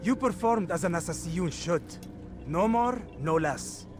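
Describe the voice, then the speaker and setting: An actor playing a stern, cold older male mentor who speaks in a measured, reproachful way. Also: high voice, lively intonation. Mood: reproving. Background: game score music.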